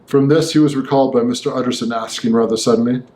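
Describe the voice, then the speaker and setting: A man's voice: medium pitch, steady tone, fast, a little mellow. Echoey male audiobook narrator. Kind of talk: scripted literary narration.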